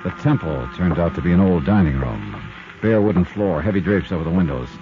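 An actor playing a wry, sardonic hard-boiled insurance investigator who also narrates, in 1950s radio drama style. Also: male voice, deep voice, lively intonation, a little fast. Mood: clipped narration. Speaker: lead character and narrator. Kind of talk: 1950s radio acting and first-person narration. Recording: dull 1950s radio recording with music.

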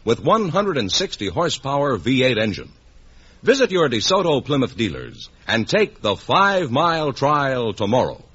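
A man's voice: medium pitch, big pitch swings, moderate pace, fairly bright tone, breathy edge. An American man working as announcer and sidekick on a 1950s radio quiz show. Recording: muffled old radio broadcast.

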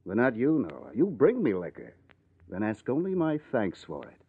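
Cynical drinker, American, needling, very animated pitch, theatrical vintage radio drama, phone-like sound. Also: male voice, moderate pace, slightly rough, heavily acted. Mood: needling, bitter. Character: cynical hard-drinking male newspaper columnist, wry and needling. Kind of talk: old-time radio drama acting.

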